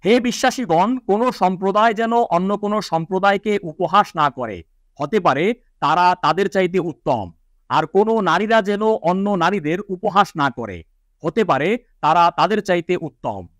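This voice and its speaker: A man's voice: sits high, animated, moderate pace, a bit bright, dry recording, studio quality. A man presenting an Islamic lecture to viewers, speaking formally and didactically as he cites scripture. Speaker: presenter of an Islamic educational video. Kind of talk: religious lecture to viewers, citing hadith and scripture.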